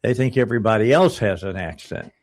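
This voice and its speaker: A man's voice: lowish voice, lively intonation, brisk. A man co-hosting a radio talk show, laid-back and teasing, chiming in with short agreements. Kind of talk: casual political chat.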